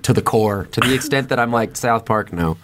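A man's voice: lowish voice, brisk, a bit bright. A man chatting as a podcast guest, informal, self-deprecating and given to swearing. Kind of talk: casual podcast chat.

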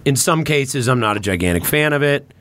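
A man chatting casually on a podcast, reflective and opinionated, halting as he thinks aloud and swearing freely. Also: medium pitch, expressive, fairly bright tone.

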